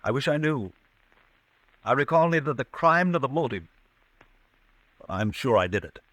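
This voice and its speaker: A man acting a haunted, guilt-ridden man in an old-time radio drama, grave, halting and intense. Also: medium pitch, lively intonation, a bit bright, dry recording. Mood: resigned guilt. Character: haunted, guilt-ridden man convinced of his own crime. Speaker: radio actor.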